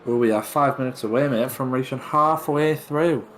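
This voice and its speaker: A man's voice: mid-range voice, moderate pace. A British man co-commentating on an online race in casual, joking chat, with a stop-start, hesitant way of talking. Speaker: esports livestream co-commentator. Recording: noisy voice chat on race stream.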